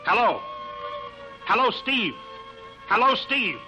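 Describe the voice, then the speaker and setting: A man's voice: high-pitched, very animated, slow, loud music behind the voice, exaggerated voice. A man playing a worried, weary aging flight-test chief in a 1950s radio drama, clipped and urgent on his calls. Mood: urgent, alarmed. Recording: muffled, very noisy old radio recording.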